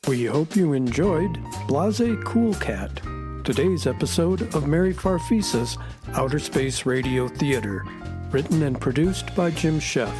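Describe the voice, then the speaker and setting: Male announcer for children's radio credits, unhurried, slightly high voice, music clearly underneath. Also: expressive.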